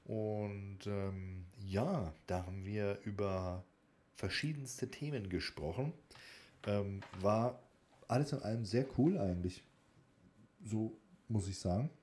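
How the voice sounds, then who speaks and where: Low-voiced, mellow young man chatting. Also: takes it slowly. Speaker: podcast co-host. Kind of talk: casual chat.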